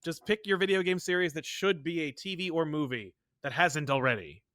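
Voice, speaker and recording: A man co-hosting a casual geek-culture podcast, animated and prone to exclaiming and acting out imagined quotes. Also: on the high side, fairly quick, no echo, clean studio sound. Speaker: podcast co-host.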